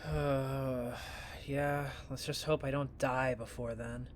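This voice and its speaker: A man playing a flippant but caring young student in an audio drama, talking in a casual, sardonic, expressive way. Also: medium pitch, takes it slowly, a little hiss or hum, lightly acted. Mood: weary.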